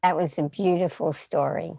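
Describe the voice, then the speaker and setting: Low, creaky, slow-speaking woman hosting. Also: fairly even intonation, mellow, no echo. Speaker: storytime host. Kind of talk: informal spoken introduction and thanks. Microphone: video call. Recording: muffled video call audio.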